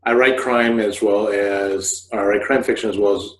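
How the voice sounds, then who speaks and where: A man's voice: lowish voice, steady tone, moderate pace. A man in his forties giving an informal, rambling instructional talk to camera, often correcting himself as he explains. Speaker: host of a scriptwriting video podcast, fiction writer. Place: living room couch, indoors. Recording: roomy, echoey home recording.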